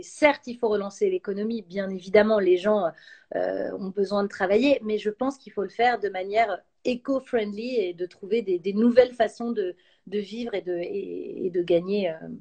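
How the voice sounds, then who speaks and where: A woman, chatting casually and enthusiastically as a guest on a live stream. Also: medium pitch. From France. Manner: lively, enthusiastic, many fillers. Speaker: actress and YouTuber, guest.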